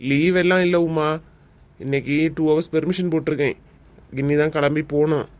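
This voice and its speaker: A man's voice: fairly high pitch, brisk, close to an everyday voice. A man voicing a friendly, easily amazed office-worker husband in a cartoon comedy, with a Kanyakumari Tamil accent. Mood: chatty. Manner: friendly, excitable.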